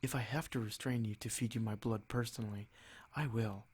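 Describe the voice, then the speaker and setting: A man playing a noble vampire lord in an ASMR roleplay, haughty and possessive, speaking in a measured, condescending, softly threatening way. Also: fairly low pitch, steady tone, slightly rough. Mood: annoyed, menacing.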